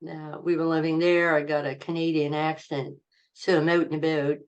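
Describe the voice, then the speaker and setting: An older woman, a comedian, telling stories from her life in a rambling, halting way as an interview guest, laughing often. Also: sits low, little pitch movement, clear, resonant tone, highs cut off. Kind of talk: casual interview storytelling.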